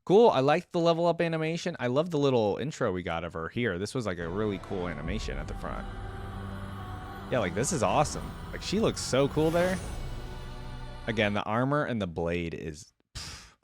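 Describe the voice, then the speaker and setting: A man's voice: fairly low pitch, big pitch swings, moderate pace, music in the background. A man hosting a casual reaction video, informal and thinking aloud as he comments.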